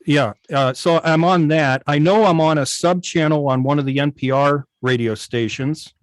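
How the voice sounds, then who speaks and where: A man explaining his work informally on a video call, in a halting, detailed way. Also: fairly high pitch, no room sound. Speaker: guest, radio station operator. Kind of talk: informal explanation in an online club meeting.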